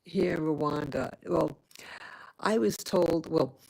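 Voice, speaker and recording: A woman from New York City talks to her viewers in a slow, unhurried vlog. Her voice sits low and has a gravelly fry. She rambles, trails off and restarts her sentences with lots of fillers. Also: darkish tone, rough recording. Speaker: vlogger. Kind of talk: casual chat to viewers. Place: home in Rwanda.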